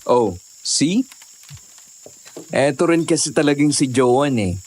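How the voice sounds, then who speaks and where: A man's voice: mid-range voice, animated, slow pace, a little mellow, slight background noise. A man with a Filipino accent acting a laid-back, skeptical young hiker in a conversational audio drama. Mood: casual, skeptical.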